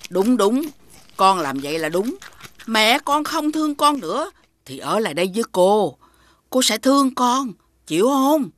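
An actress playing a bossy, sly woman food seller in an animated folk tale, with a Southern Vietnamese accent. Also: a little high, unhurried, no room sound. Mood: coaxing. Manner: scolding, switches to coaxing.

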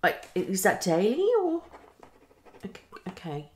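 A woman's voice: mid-range voice, sing-song, unhurried. A woman, around her thirties, performing an ASMR psychologist roleplay, muttering and repeating herself as she slips between whispers and speech. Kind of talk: fast, unpredictable ASMR roleplay. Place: small living room, indoors.

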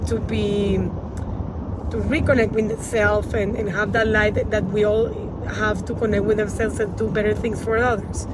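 Spanish-accented woman in a noisy car. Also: medium pitch. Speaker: women's empowerment coach giving tips. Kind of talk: casual advice vlog to camera. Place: inside a car. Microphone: phone at arm's length. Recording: loud car and road noise.

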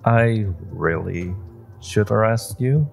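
Nerdy superhero played by a man for audio roleplay; nervous and halting, with a gravelly creak and unhurried pacing. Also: lowish voice, lively intonation, faint music behind, full character voice. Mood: awkward, earnest. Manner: halting, flustered, stammering. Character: nervous, nerdy young male superhero, flustered and earnest.

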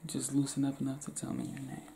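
Soft-whispering young man, gravelly. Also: mid-range voice, completely flat delivery, fast, a little hiss or hum. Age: young adult.

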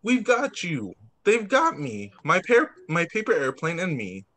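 A man teacher reading picture books aloud to young children on a video call, expressive, drawing out words and adding child-friendly asides. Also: fairly high pitch, measured pace. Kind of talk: animated read-aloud story time for young children.

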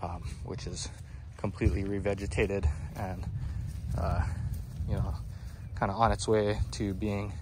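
A man giving a relaxed walking tour of his garden outdoors, with lots of "um, you know," filmed on a handheld phone. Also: on the low side, noisy background. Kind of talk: casual walking tour explanation. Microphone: phone camera held by the speaker.

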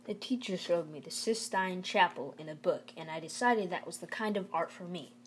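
A stern working father in a storybook, read by a woman, talks firmly and plainly, with a folksy lecturing manner. The voice sits fairly low, over a noisy recording. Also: moderate pace, close to an everyday voice. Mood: reflective. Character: stern, plain-spoken Southern father, a working man urging schooling.

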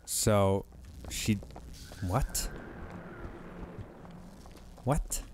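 A hoarse man giving casual let's-play commentary, thinking aloud as he plays. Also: lowish voice, a little mellow, slight background noise. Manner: slow, rambling, thinking aloud.

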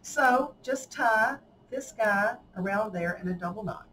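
A woman's voice: fairly high pitch, measured pace, a bit bright, distant mic, low-quality recording. A woman with a Southern drawl walks through a craft project in a folksy, chatty way. Her voice sits slightly away from the mic in an indoor room with faint background noise. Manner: chatty, folksy, laughs often. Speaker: craft tutorial host. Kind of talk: casual hands-on craft demonstration.

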